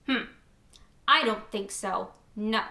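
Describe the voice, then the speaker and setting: A woman's voice: mid-range voice, takes it slowly, bright, forceful tone, roomy sound. A woman voicing a dismissive, reluctant male landlord in a children's storybook, in a curt, hesitant manner. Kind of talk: children's storybook character reading.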